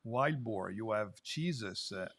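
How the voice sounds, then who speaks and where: A man, a winery guest in a casual interview chat, earnest and often pausing to search for words. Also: lowish voice, animated, fairly bright tone, no room sound, studio quality.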